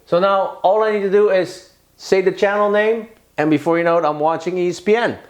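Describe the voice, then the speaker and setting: A man's voice: sits high, steady tone, brisk. A middle-aged man demonstrating a product step by step in an echoey living room. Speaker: product demo presenter.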